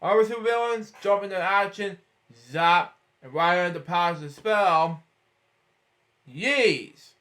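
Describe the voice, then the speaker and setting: A man's voice: sits high, measured pace, fairly bright tone. A man reading a children's storybook aloud, stumbling over words and breaking off for rambling asides of his own. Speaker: storybook reader.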